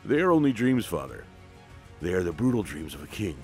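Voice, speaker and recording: A man voicing a proud, distrustful young king in a clipped, measured manner, for a comic-book retelling. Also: low voice, big pitch swings, a little mellow, soft background music, pitched below the performer's own voice. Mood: curt, defiant.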